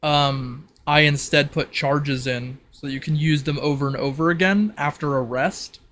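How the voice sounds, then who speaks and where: A man's voice: on the high side, steady tone, normal speed, fairly bright tone, slight background noise. A man acting as game master, casually explaining the rules of a tabletop roleplaying game.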